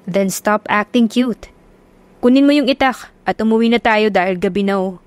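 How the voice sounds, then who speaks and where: A calm, teasing young man with a relaxed, unhurried delivery, voiced by a woman narrator in her own range. The sound is dry and close-miked. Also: mid-range voice, no room sound, natural voice.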